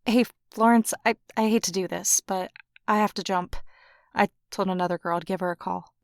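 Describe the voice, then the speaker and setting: A woman's voice: medium pitch, fairly even intonation, moderate pace, no room sound, clean studio sound, close to an everyday voice. An actress playing a casual but evasive woman on a call in an audio drama, slightly hesitant in manner. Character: Inez, evasive, casual woman caller, secretly probing. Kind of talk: audio drama phone dialogue.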